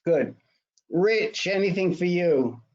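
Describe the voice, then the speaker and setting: A man's voice: high voice, animated, slow, a little mellow, muffled recording. A man moderating a support group in a casual, chatty, joking way over an online call.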